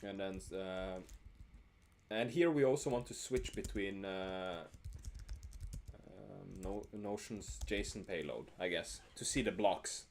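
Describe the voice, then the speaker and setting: A man's voice: sits low, slow, gravelly, slight background noise. Man live-coding on stream. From Norway. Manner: thinking aloud, many ums, fades out at ends of phrases. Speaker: streamer host. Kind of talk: casual live-coding chat. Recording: faint music and keyboard typing behind.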